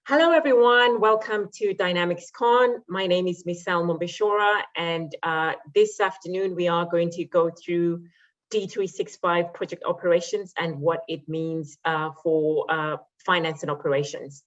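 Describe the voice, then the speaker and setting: Low-voiced woman presenting a conference session. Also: steady tone, moderate pace. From Zimbabwe. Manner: conversational with frequent fillers. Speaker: conference speaker, solution architect. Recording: muffled lo-fi audio.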